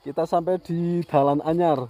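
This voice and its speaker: A man's voice: on the high side, steady tone, moderate pace, warm tone. A man narrating a motorbike trip vlog in an easygoing, casual way, recorded outdoors on a rural road. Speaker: motovlogger. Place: outdoors on rural mountain roads by tea fields.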